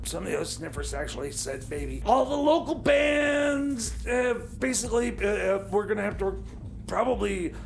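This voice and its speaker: A man's voice: lively intonation, moderate pace. A man playing a nervous, bumbling time-machine mechanic in a comedy radio play, stammering through every sentence. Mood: rambling. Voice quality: high gravelly voice. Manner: stammering, hesitant, full of restarts.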